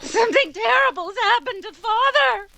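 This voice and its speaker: An actress playing a desperate, resolute grown daughter in a 1940s-style radio drama, intense and insistent. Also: female voice, extremely high pitch, expressive, vocal fry, crowd noise, full character voice. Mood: horrified, frantic. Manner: intense, deliberate pleading and insistence.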